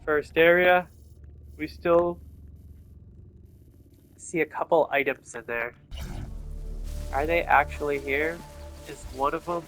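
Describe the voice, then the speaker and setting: A man's voice: fairly high pitch, fairly even intonation, slow, pauses often, fairly bright tone. A man commentating casually on his own video game playthrough, muttering and thinking aloud to himself. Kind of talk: casual let's-play commentary. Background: video game music and sound effects.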